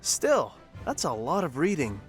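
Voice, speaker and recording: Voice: medium pitch, wildly swooping pitch, unhurried, soft background music. An actor playing an awkward, hesitant, good-natured young adventurer in an audio drama. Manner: awkward, unassuming.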